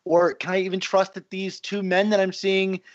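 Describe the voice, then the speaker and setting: A man's voice: high voice, little pitch movement, moderate pace. A man on a podcast musing aloud about a film, speculative and questioning. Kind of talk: casual film discussion. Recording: slightly dull, lo-fi sound.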